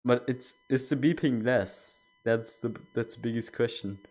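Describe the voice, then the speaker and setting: A man's voice: mid-range voice, unhurried, a little mellow. A young man streaming a game, chatting casually and rambling with many restarts. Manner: rambling, self-correcting. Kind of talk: casual chat while gaming.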